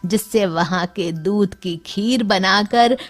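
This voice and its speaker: A woman voicing a loving, gently firm, ailing old village mother in a cartoon, speaking in a drawn-out, instructive way. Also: mid-range voice, soft background music. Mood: firm, explaining.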